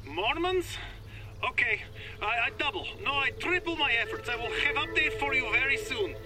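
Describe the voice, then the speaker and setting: An actor playing an anxious, eager-to-please foreign forger who speaks flustered, broken English in an audio drama. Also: male voice, very high-pitched, animated, moderate pace, low-quality recording. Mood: nervous, placating.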